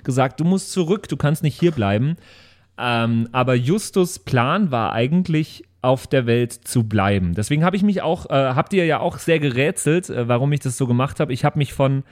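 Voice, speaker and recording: A man's voice: medium pitch, expressive, moderate pace, a little mellow. A man chatting casually and reflectively as he recaps a role-play game with friends, in a rambling way. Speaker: podcast player recapping his tabletop role-play character.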